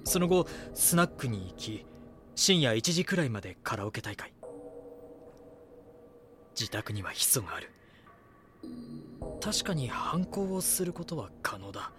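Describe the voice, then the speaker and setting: A man's voice: medium pitch, sing-song, unhurried, long pauses, over music. A man acting an earnest magazine reporter in an audio drama, thinking through a case. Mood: brooding, puzzled. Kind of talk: Japanese audio drama acting, brooding monologue and dialogue.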